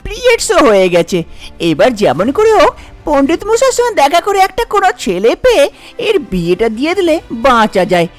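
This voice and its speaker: A harsh, impatient village stepmother, played by a woman in a cartoon tale. She nags and orders in a bossy way, her pitch swinging widely, over faint music. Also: wildly swooping pitch, a little fast. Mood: scheming. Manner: nagging, bossy, dismissive. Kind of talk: cartoon story voice acting.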